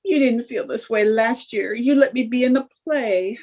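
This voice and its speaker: A woman's voice: a little high, normal speed, darkish tone. A woman playing a scheming, stubborn, stagestruck housewife in old-time radio comedy, coaxing and indignant in a theatrical way. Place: remote video-call production.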